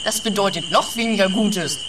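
A curt, exasperated companion in a radio comedy, played by an actor. The delivery is dry and terse, over clear night noise of insects and owls. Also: mid-range voice, moderate pace, lightly acted. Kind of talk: comedy radio play acting.

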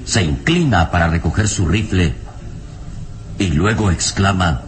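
A man's voice: sits low, measured pace, a little hiss or hum. A man narrating a radio serial in a dramatic, scripted style. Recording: vintage radio serial with music behind.

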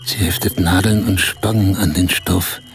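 An actor in a radio play as a man recounting, in measured detail, a strange dreamlike night of being pampered. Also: sits low, fairly even intonation, vocal fry. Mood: recounting, absorbed. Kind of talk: German radio play monologue. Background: music.

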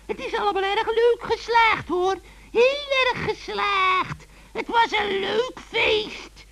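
A man's voice: very high voice, vocal fry, a bit bright, voice pushed up, heavily acted. A man voicing a whining, scheming old female witch in a children's radio play, theatrical and stammering.

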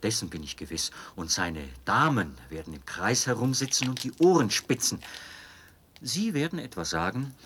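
An actor playing a fervent, fanatically devoted officer in a German radio drama, speaking with insistent, theatrical persuasion and a husky voice. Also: male voice, lowish voice, sharp tone, full character voice. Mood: insistent, scheming, then sardonic, conspiratorial.

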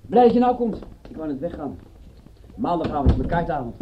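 An actor playing an unhurried, matter-of-fact colleague of a detective in a Dutch radio drama. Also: male voice, on the high side, big pitch swings, noisy background. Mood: casual, matter-of-fact. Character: unhurried male colleague with police contacts. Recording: dull radio drama recording.

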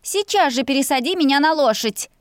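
A woman voicing a proud, fiery young heroine, sharp, scolding and defiant, in an audiobook story. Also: sits high, animated, measured pace, no echo, clean studio sound, voice pushed up. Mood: angry, defiant.

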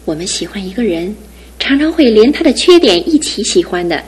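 A breathy, devoted young fiancée. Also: female voice, medium pitch, very animated, brisk. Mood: gently firm. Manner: earnest, softly insistent. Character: devoted young fiancée, gentle but resolute. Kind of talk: studio radio drama acting.

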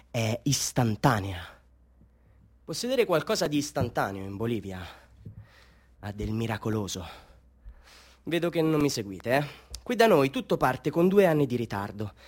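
An actor playing a chatty, self-mocking Bolivian street photographer hustling tourists, with ingratiating, mock-grand patter in a theatrical radio monologue. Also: male voice, medium pitch. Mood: wry, subdued. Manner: showman patter, ingratiating, mock-grand, swinging into wry asides.

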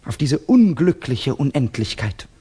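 A man playing a brooding, embittered Russian student in a German radio play, speaking with rhetorical intensity and dramatic pauses. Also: medium pitch, very animated, mellow, slightly rough, exaggerated voice. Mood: impassioned.

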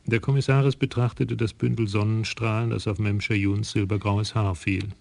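Gravelly male radio play narrator. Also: fairly low pitch, little pitch movement, moderate pace, darkish tone.

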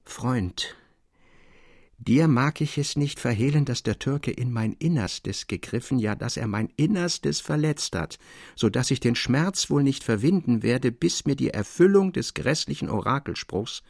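A man's voice: mid-range voice, animated, a little slow, creaky, a little mellow, breathy edge, no echo, clean studio sound, full character voice. A man reading the part of an earnest, intense young scholar in a literary story, with solemn, formal phrasing. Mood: anguished.

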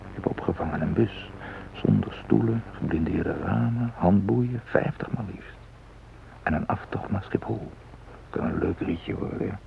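Very deep, creaky-voiced brooding man. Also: heavily acted. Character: self-possessed man posing as doctor among hostages. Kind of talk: radio drama interior monologue. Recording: muffled phone-like old radio recording, hiss.

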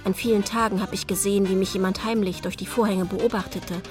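A woman's voice: medium pitch, fairly even intonation, quick pace, over music, natural voice. An actress playing a watchful girl who recounts a memory in a radio play.

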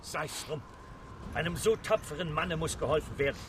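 An actor in a radio drama playing a stiff, dutiful fort captain with clipped military speech. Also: male voice, a little high, animated, moderate pace, noisy background, natural voice.

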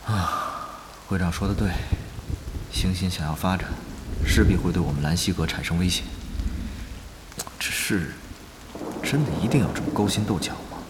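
A man's voice: deep voice, moderate pace, long pauses, background noise, close to an everyday voice. A man playing a weary, reluctant young deputy of a gamers' guild in an audio drama. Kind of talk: Chinese audio drama dialogue.

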